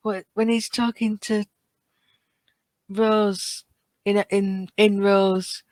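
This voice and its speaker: A woman speaking as a podcast guest in casual fan discussion, earnest and appreciative, often halting as she finds her words. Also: mid-range voice, flat delivery, a little mellow, no echo.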